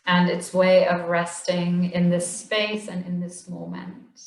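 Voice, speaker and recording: Slow, gentle woman guiding meditation. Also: on the low side, completely flat delivery, vocal fry, reverberant. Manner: slow, gentle, soothing guidance with long pauses. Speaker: meditation instructor. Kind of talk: guided body scan meditation.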